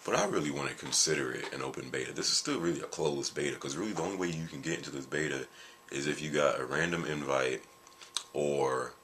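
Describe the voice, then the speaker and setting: Very deep-voiced, tired man rambling. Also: fast talker, fairly bright tone, a little hiss or hum. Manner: rambling and jokey, with many fillers and restarts. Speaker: gaming video creator. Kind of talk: casual vlog chat.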